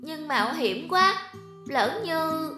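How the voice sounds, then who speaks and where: Woman voicing a high-pitched earnest little raindrop boy. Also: expressive, slow pace, music in the background. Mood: frightened, doubtful. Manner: earnest, exclamatory. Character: small brave young raindrop boy, determined, anxious.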